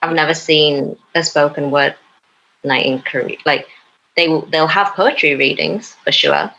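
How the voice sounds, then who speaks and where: Young woman from Newcastle upon Tyne, a poet and podcast guest, low bright voice, hesitant and full of fillers. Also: animated, vocal fry, highs cut off. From Newcastle upon Tyne, England. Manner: hesitant, many pauses and fillers. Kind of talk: casual interview chat.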